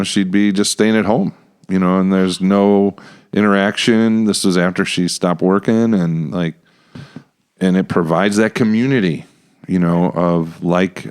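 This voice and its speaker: A man's voice: low voice, smooth. An American man in his fifties chatting casually as a podcast host. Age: 50s. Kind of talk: casual conversation.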